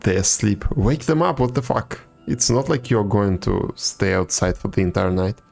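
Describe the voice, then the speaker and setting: Low, gravelly man streaming games. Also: normal speed, darkish tone. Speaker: game livestreamer. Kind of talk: casual chat with viewers while gaming. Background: game music.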